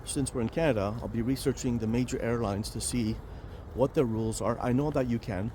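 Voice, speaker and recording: A man hosting a casual vlog while walking outdoors near a highway, chatty and rambling with many fillers and restarts. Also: fairly quick, warm tone, slight background noise. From Romania. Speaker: vlog host, financial planner.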